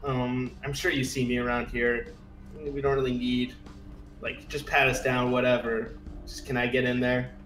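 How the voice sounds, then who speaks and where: A man chatting casually as a player in a tabletop roleplay game, a little halting. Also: mid-range voice, flat delivery, fairly bright tone. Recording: roomy echo, faint music behind.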